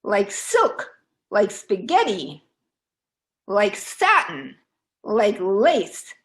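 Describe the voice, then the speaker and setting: A woman storyteller reading aloud for children in a dramatic, deliberate, expressive way. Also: medium pitch.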